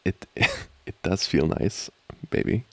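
A man playing an amorous, giggly student boyfriend in an intimate ASMR roleplay, talking haltingly and teasingly close to the mic. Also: dry recording, natural voice. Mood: relaxed, distracted. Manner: halting, soft-spoken, chuckling, teasing. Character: amorous, giggly young male student boyfriend trying to study. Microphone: close microphone, dry.

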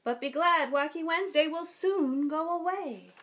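A woman reading aloud as a kindly, smiling male patrolman in a children's storybook, with a gentle, reassuring manner. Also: high voice, normal speed, voice pushed up.

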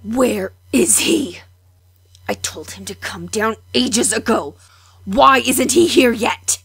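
Woman playing a husky, irritable beast mistress. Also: on the high side, dry recording, full character voice. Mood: angry, impatient. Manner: irritable, drawn-out delivery. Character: fierce, impatient cursed beast mistress of castle.

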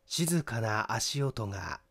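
Slow, theatrical male story reader. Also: mid-range voice, expressive, fairly bright tone, husky. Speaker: audiobook reader, small-theatre performer. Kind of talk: dramatic literary narration of a detective novel.

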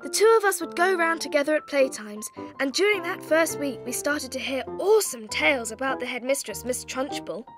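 An actress playing a bright little British schoolgirl who tells her own story in a dramatized children's audiobook, with eager storytelling. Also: sits high, lively intonation, a little fast, hardly any pauses, over music. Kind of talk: first-person narration in dramatized children's audiobook.

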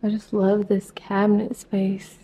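A woman's voice: medium pitch, completely flat delivery, a little slow, warm tone, slight background noise. A young woman in her twenties chatting casually and playfully in her apartment kitchen as she films a vlog on a handheld phone. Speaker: vlogger.

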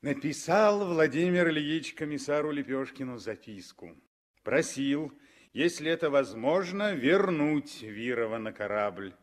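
A man narrating a 1960s radio drama with dramatic, deliberately phrased storytelling. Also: a little high, animated.

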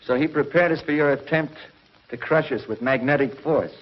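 An actor playing a resolute comrade of a space hero, terse and matter-of-fact, in a 1950s TV sci-fi serial. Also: male voice, a little high, moderate pace, lightly acted. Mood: firm, satisfied. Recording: dull 1950s TV soundtrack.

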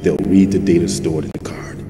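Actor as deep, grave captive agency chief, echoing. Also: male voice, steady tone, normal speed, close to an everyday voice. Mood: informative. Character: captive middle-aged male defense agency chief, grave and weary. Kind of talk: dramatic video game cutscene dialogue. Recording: echoey reverberant room under loud music. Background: loud game music.

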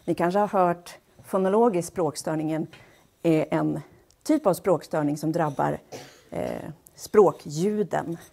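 Lower-pitched woman giving a measured lecture. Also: gravelly, dark tone. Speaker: lecturer, speech-language pathologist. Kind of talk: public lecture, explanatory. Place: wood-panelled lecture hall, indoors. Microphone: headset.

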